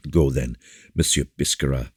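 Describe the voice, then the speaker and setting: A man voicing a solemn, commanding bishop who speaks with grave, measured courtesy in an audiobook. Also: a bass voice, fairly even intonation, slightly rough, dry recording, studio quality, full character voice. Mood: courteous. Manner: grave, measured rhetoric with repeated appeals. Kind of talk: audiobook character dialogue.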